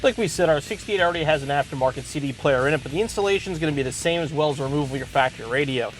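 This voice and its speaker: A man presenting an instructional product demonstration as a tutorial host, explaining things clearly step by step. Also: on the high side, lively intonation, rapid-fire, faint music behind, poor audio. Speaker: host of car parts installation tutorial.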